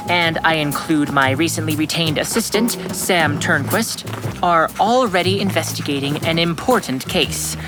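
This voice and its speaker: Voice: medium pitch, natural voice. An actor playing an earnest, self-important sixth-grade boy detective in a radio drama, speaking formally and precisely. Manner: pompous, formal, precise diction. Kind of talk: radio drama acting and first-person narration. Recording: music under the voice.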